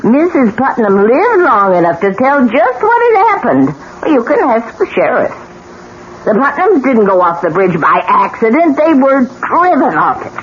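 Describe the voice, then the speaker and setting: Casting: elderly widow, bitter and foreboding, widely swinging pitch, 1970s radio drama, muffled sound. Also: female voice, big pitch swings. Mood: ominous. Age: older. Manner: dramatic, slow, foreboding delivery. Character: bitter old widow, ominous and insistent. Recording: dull, noisy old radio broadcast.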